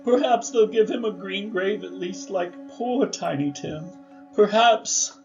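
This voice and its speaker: A man acting a frightened old miser pleading with a ghost, tremulous, halting and melodramatic, in a theatrical radio drama. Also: high-pitched, creaky, lo-fi sound, soft background music, exaggerated voice. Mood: anguished.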